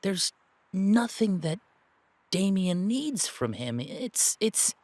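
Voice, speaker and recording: A man playing an earnest, anxious young werewolf who thinks aloud haltingly in an audio roleplay. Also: high voice, big pitch swings, darkish tone, no room sound, pitched above the performer's own voice, exaggerated voice. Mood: puzzled, thoughtful. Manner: hesitant, rambling, halting.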